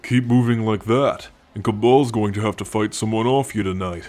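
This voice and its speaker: A man voicing a friendly, teasing alien soldier in an intimate ASMR roleplay, talking in a gentle, playful, confiding way. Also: on the low side, fairly even intonation, moderate pace, faint music behind, lightly acted. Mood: playful, encouraging. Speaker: voice actor in an audio roleplay. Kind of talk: ASMR roleplay, intimate one-sided conversation.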